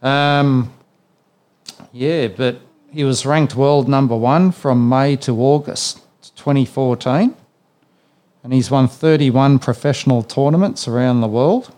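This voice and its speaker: An Australian man hosting a laid-back sports podcast. He talks in a rambling, conversational way, peppered with "yeah" and "you know", at an ordinary mid pitch. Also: unhurried, a little mellow. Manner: rambling, with many fillers and "yeah"s. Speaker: podcast host. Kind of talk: casual sports chat.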